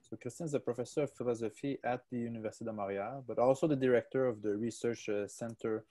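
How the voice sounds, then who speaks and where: A man moderating an online seminar, introducing the next speaker with a lot of fillers and pauses. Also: mid-range voice, fairly even intonation, warm tone. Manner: halting, many fillers. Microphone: video call.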